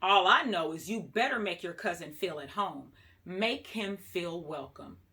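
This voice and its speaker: A woman's voice: on the low side, expressive, a little slow, pushed and bright, heavily acted. A woman voicing a serious, bossy, slightly irritated mother in a children's storybook, firm and no-nonsense. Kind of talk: storybook read-aloud dialogue.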